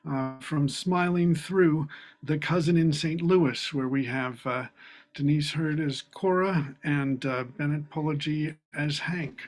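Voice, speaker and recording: A man hosting a script reading, introducing scenes and reading stage directions in a halting, informal way. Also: on the high side. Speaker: host of a play reading.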